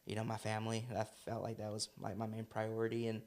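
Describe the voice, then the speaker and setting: A man's voice: on the low side, monotone, quick pace, a little mellow. A young man from Arkansas, a facility director, chatting easily in an interview, laughing often and repeating words as he starts his sentences. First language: Spanish and English at home, Salvadoran parents. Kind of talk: casual interview chat.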